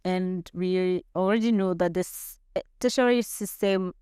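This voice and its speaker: Clear-voiced Nigerian woman, dry sound. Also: on the low side, measured pace, a little mellow, no echo. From Nigeria, grew up in Lagos. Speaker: guest. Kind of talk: casual interview chat.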